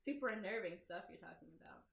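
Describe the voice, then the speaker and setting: A woman's voice: mid-range voice, fast talker, vocal fry, reverberant, natural voice. A woman voicing a teasing, laid-back teenage stoner boy for a visual novel, with a drawling manner.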